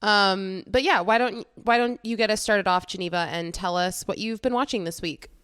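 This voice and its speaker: A woman's voice: medium pitch, moderate pace, a bit bright, smooth, no room sound, studio quality. Congested woman co-hosting a film podcast. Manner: easygoing, apologetic, fillers. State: congested from a cold. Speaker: podcast co-host. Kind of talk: casual film chat.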